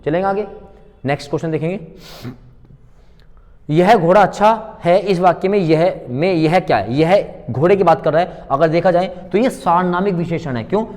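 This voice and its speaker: A man's voice: sits high, a little mellow. A man teaching a grammar lesson in an informal, instructional way, repeating key points and putting questions to students that he answers himself. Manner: informal, familiar address to students. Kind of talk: classroom grammar lecture with worked examples. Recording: long hall-like reverb on voice.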